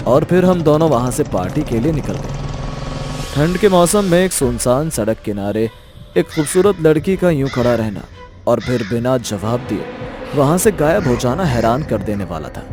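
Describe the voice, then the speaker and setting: A man's voice: medium pitch, big pitch swings, moderate pace. Man narrating horror story over music. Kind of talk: scripted first-person horror story narration.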